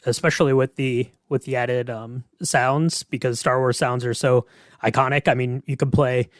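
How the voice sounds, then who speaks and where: A man's voice: mid-range voice, fairly even intonation, moderate pace, dry recording, clean studio sound. A man hosting a podcast, asking questions and chatting in a casual interview style. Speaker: podcast host.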